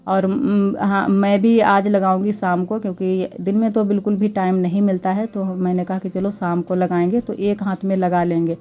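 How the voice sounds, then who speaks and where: Hoarse-throated woman, mellow chatty vlog talk. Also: medium pitch, little pitch movement, normal speed, few pauses. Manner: chatty, homely, thanks viewers. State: sore throat, slightly hoarse. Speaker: vlogger. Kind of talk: casual vlog narration. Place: village home, household chores. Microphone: clip-on mic.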